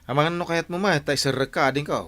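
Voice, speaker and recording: A man's voice: on the high side, brisk, pushed and bright. A man acting in an Ilocano radio drama as a blustery, teasing village working man, with an Ilocano accent. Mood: surprised, eager.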